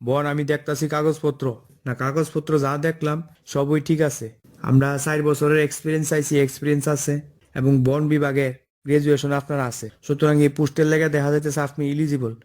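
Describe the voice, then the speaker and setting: A man's voice: on the high side, flat delivery, fast talker, a little mellow, natural voice. A man voicing a stern, by-the-book office boss in a comic cartoon, bossy and explanatory, with an eastern Bengali dialect. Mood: official, admonishing. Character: stern, by-the-book male office boss holding job interviews.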